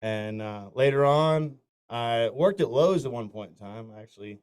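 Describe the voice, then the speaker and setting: Smooth-voiced man telling stories. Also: mid-range voice, lively intonation, moderate pace. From north Florida. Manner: rambling anecdotes with many fillers and restarts. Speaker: country singer, interview guest. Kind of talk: casual storytelling interview.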